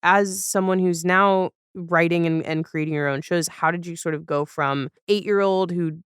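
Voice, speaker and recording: A woman with a very clear, smooth voice in a dry recording. She speaks as a podcast host, putting questions to a guest in a casual, chatty style. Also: on the low side, fairly even intonation, moderate pace, no room sound. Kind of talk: casual conversational interviewing.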